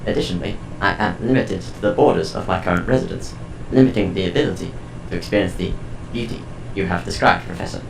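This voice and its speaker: A man playing a calm, formal artificial intelligence in an audio drama, speaking in precise, measured sentences. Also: low voice, gravelly, reverberant, music in the background, rough recording. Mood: reflective, measured.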